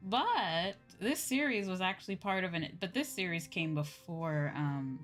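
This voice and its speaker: A woman with a fairly low voice streams a game and chats with her viewers. Her talk is informal, slangy and loosely rambling. Light game music plays behind her. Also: unhurried, a bit bright. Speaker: livestreamer, VTuber host. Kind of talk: casual chat while playing a game, answering viewers.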